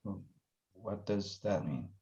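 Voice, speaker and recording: An actor playing an awkward, sarcastic, lovesick young man in a screenplay table read, with halting, stammering delivery. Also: sits low, expressive, warm tone, dull audio, slight background noise. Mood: concerned.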